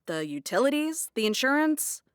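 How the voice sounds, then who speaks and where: An actress playing a practical woman bookkeeper in an audio drama, explaining things frankly with gentle sarcasm. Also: sits high, sing-song, normal speed, clean tone, dry recording, clean studio sound. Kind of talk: naturalistic audio drama dialogue.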